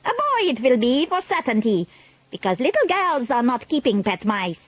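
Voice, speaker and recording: A woman's voice: sits high, sing-song, normal speed, no echo. A woman voicing a scheming, pompous old witch leader who talks in grandiose broken grammar. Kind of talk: storybook reading.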